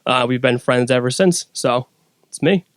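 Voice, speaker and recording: A man's voice: medium pitch, moderate pace, no echo, clean studio sound. A man speaking as a podcast guest, casually telling a personal story.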